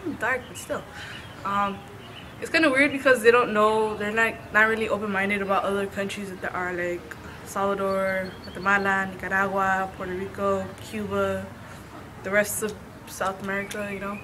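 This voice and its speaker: A woman's voice: medium pitch, flat delivery, normal speed, bright, forceful tone, slight background noise. A young woman answering interview questions bluntly and frankly, a bit indignant.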